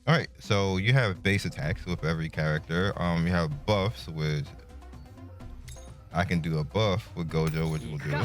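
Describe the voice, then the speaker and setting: A man's voice: sits low, normal speed. A man giving casual, laid-back, slangy gameplay commentary. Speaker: gaming content creator. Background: faint game music.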